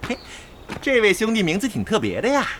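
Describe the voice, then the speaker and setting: A man's voice: sits high, huge pitch swings, fairly quick, a bit bright, a little hiss or hum, heavily acted. An actor playing a brash, goofy, eager young male gamer in an audio drama. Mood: cheeky, eager.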